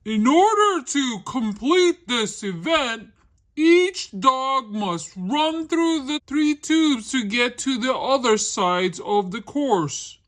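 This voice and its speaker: A woman voicing a loud, emphatic male announcer at a dog show in a children's story. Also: high-pitched, animated, takes it slowly, exaggerated voice. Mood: announcing. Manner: declamatory, emphatic.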